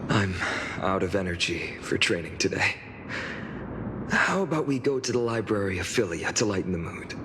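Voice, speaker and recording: A serious young swordsman from a fantasy game. His voice is fairly low, measured and sincere, carrying a dutiful, self-reproaching weight. It rings with long reverb over clearly audible noise. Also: lively intonation. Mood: apologetic, gentle. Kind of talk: voiced game story dialogue.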